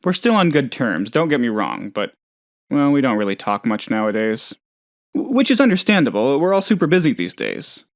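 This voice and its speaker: A man's voice: mid-range voice, big pitch swings, moderate pace, no room sound, clean studio sound. A man playing a hapless, trusting, lonely oddball in a video game, talking in a rambling, hesitant, good-natured way. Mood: wistful, lonely. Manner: rambling, hesitant, naive, chatty. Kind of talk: video game character dialogue.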